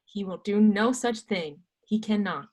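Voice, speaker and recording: A woman playing a guarded old male astronomer and churchman in a stage play reading, terse, wary and dismissive, with a husky voice. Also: mid-range voice, a little slow, a little mellow, close to an everyday voice. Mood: stern, dismissive. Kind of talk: readers theatre performance of a history play.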